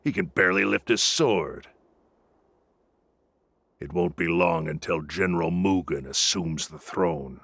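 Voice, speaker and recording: An actor playing an arrogant, bullying drunken army captain in a video game, with a gravelly rasp and a sneering, swaggering delivery. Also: male voice, sits low, unhurried, long pauses, a bit bright, no echo, full character voice. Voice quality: gravelly, raspy. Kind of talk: scripted video game voice acting.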